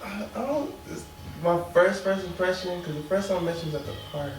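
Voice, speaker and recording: A man's voice: high-pitched, fairly quick, far from the mic. Male panel guest, early 20s, casual, heavy echo, off-mic, faint music. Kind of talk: casual chat.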